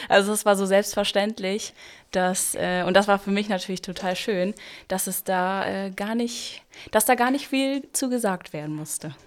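A woman's voice: medium pitch, normal speed. A young woman in her early twenties, a wedding guest speaking hesitantly with many fillers. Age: 20s. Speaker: maid of honor, guest. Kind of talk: casual interview talk.

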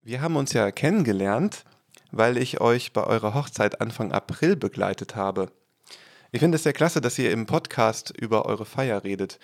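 A man, a pastor, hosting a church podcast and interviewing guests in a friendly, measured way. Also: medium pitch, expressive. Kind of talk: scripted podcast intro and interview questions.

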